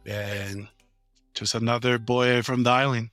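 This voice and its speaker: A man in his thirties from American Samoa talks through his work in a loose, halting way, filling gaps as he goes. His voice is bright and forward, recorded close and dry with faint music underneath. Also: medium pitch, dry recording. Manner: relaxed, halting, many fillers. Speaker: business owner guest. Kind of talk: casual interview answers.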